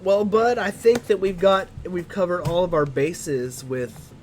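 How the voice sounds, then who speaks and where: Chatty joking host, about thirty. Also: male voice, high voice, a little slow, a crowd in the background. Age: around 30. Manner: chatty, joking, filler-heavy. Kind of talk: casual chat.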